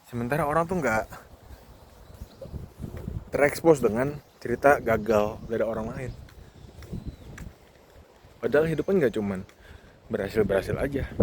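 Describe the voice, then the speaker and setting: A man's voice: mid-range voice, darkish tone. A man of thirty, talking casually and reflectively to himself as a podcast host on a walk outdoors. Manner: informal, reflective, self-talking. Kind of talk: casual walking monologue, vlog. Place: outdoors on a campus football field. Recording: noisy outdoor ambience. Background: clear outdoor background noise.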